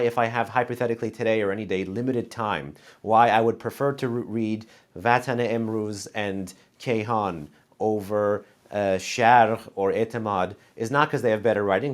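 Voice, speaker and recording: A man hosting a panel discussion who makes dense, argumentative points and adds quick footnotes. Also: lowish voice. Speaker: host moderating a panel.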